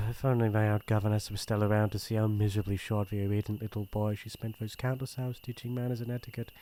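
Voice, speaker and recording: A man with a British accent playing a shy, earnest young crown prince in an ASMR roleplay, speaking in formal, hesitant, gentle phrases. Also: lowish voice, a little hiss or hum, lightly acted. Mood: embarrassed, troubled. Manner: formal courtly phrasing, hesitant and soft-hearted.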